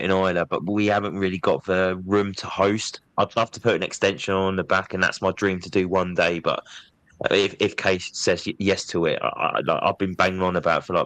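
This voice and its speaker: A man's voice: low-pitched, dry recording. A man chatting casually on a podcast, bunged up from a cold. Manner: chatty, relaxed, says "mate" often. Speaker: podcast co-host. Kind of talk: casual chat.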